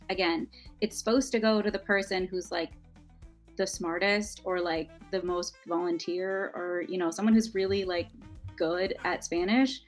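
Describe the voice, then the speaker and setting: Chatty Minnesotan woman, laughing easily. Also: mid-range voice. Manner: chatty and self-deprecating, laughs often. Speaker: guest, makeup artist. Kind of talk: casual interview chat.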